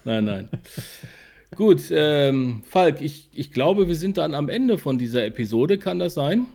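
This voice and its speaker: A man's voice: fairly high pitch, big pitch swings, measured pace, vocal fry, warm tone. A man co-hosting a podcast in casual chat, often searching for words and repeating himself. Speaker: podcast co-host.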